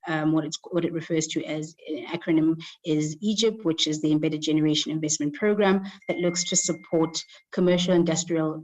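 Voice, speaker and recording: Woman with a really low voice, lots of ums, formal panel talk. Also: darkish tone, rough recording. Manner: many filler ums, slow and careful. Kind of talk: formal presentation.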